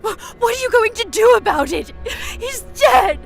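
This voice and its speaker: A woman playing a grieving, injured young goddess in an audio drama, crying in pain with strained, halting, desperate speech. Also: extremely high pitch, expressive, faint music behind, exaggerated voice. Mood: desperate anger. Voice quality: strained, breathy gasping delivery. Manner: halting, stammering, pleading outbursts.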